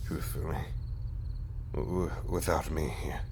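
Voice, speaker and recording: Gentle zombie husband, played by a man, very low voice, slow and stammering, softly formal, intimate audio drama, faint insect noise behind. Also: fairly even intonation, creaky, heavily acted. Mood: wistful, hesitant. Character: undead zombie husband, gentle, apologetic, tenderly devoted.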